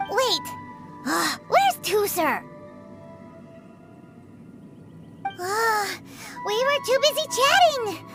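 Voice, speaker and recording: A tiny, excitable childlike character for a video game. The voice is extremely high and squeaky, with lively swings in pitch and music behind it. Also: female voice, full character voice. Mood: concerned.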